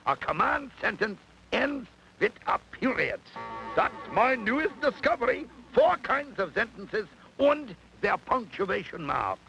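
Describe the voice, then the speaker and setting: A high, creaky mad professor. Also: male voice, big pitch swings, unhurried, pushed and bright, faint music behind, exaggerated voice, poor audio. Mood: grand lecturing. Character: excitable eccentric mad scientist professor inventing word rules. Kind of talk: theatrical cartoon lecture.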